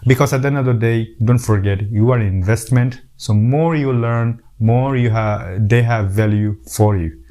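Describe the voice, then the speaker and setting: A man's voice: mid-range voice, moderate pace, hardly any pauses, mellow. Earnest middle-aged man with a Bangladeshi accent. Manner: earnest, repeats words and restarts sentences. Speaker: YouTube host. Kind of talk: casual advice talk to camera.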